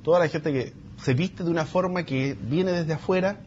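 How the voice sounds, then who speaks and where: A man's voice: on the high side, normal speed, darkish tone. A man with a Chilean accent hosting a panel discussion and putting probing questions to his guests. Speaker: host. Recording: dull sound with faint background noise.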